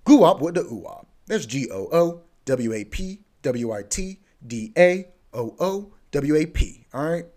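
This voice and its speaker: A man's voice: fairly high pitch, lively intonation, measured pace, few pauses, dark tone. A man in his twenties hosting his own podcast, talking casually in a rambling, slangy way with lots of fillers. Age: 20s. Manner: laid-back, slangy, rambling with many fillers. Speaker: podcast host. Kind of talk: casual solo podcast monologue.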